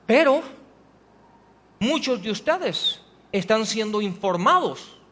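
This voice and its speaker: High-voiced male pastor in reverberant hall. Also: sing-song, slow, fairly bright tone. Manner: preaching with rhetorical questions, rising to emphatic passages. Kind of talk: sermon. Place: church hall.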